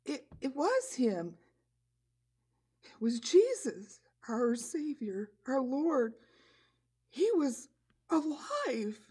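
A woman voicing an earnest disciple puppet, telling a story to children in a deliberate manner. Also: high-pitched, sing-song, darkish tone, slightly rough, heavily acted. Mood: earnest awe. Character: earnest disciple puppet recounting Jesus' return.

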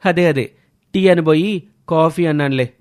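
A man's voice: a little high, moderate pace, lightly acted. A man playing an eager, affectionate young man in a cartoon story. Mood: cheerful. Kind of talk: scripted cartoon dialogue.

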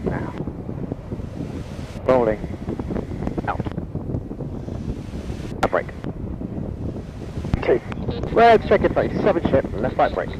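A man's voice: medium pitch, big pitch swings, slow, long pauses, muffled recording. A man speaking as a pilot over aviation radio, making terse, procedural calls in clipped phrases. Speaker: display team lead pilot on air traffic radio. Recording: aircraft radio with loud wind and engine noise.

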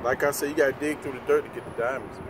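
Emphatic man over very loud outdoor noise. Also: medium pitch, fairly even intonation, rapid-fire. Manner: emphatic, preachy, slangy, repetitive. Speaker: trucker, vlog guest. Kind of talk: motivational casual chat. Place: outdoors beside a parked semi truck. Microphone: standing back from handheld camera.

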